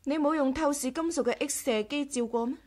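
An actress with a Hong Kong Cantonese accent playing a quick-witted, caring, direct woman in a 1980s radio drama. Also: high voice, a little mellow, natural voice. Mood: curious.

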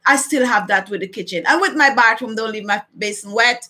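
A woman's voice: fairly high pitch, a little fast, sharp tone. A middle-aged woman with a Jamaican accent, chatting casually to livestream viewers and repeating phrases for emphasis. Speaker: beauty vlogger hosting a live chat. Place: small furnished room at home, indoors.

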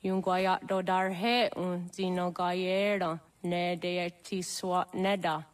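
A woman's voice: fairly low pitch, flat delivery, slow pace, clear, resonant tone. A woman playing a grave, wise old village elder with an aged voice and solemn manner, in a video game. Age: older. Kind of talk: dramatic video game dialogue.

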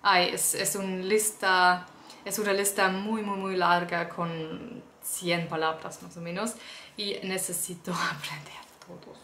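A young woman, a native German speaker, chatting casually to camera at home as a vlogger, speaking haltingly with restarts as she learns the language. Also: lowish voice, flat delivery, sharp tone, reverberant, a little hiss or hum. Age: young adult. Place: small indoor room at home.

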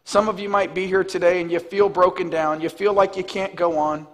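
High-voiced man preaching in a hall. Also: a little fast. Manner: animated preaching, walking the stage. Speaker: pastor. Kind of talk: sermon. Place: large church stage, indoors. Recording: long hall reverb.

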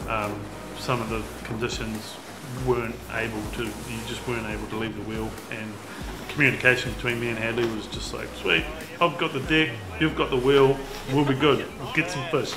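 A young man with a New Zealand accent, chatting casually about a fishing trip, relaxed and full of fillers. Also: medium pitch, normal speed, hardly any pauses, noisy background. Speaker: fisherman. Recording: roomy sound with music behind.

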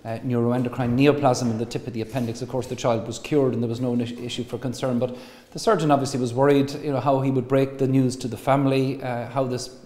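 Male surgeon, 50s, halting delivery with fillers; warm timbre, lapel mic in echoey hospital room. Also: medium pitch, flat delivery. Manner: halting, many filler pauses. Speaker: consultant surgeon. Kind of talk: interview explanation. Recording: echoey room with long reverb.

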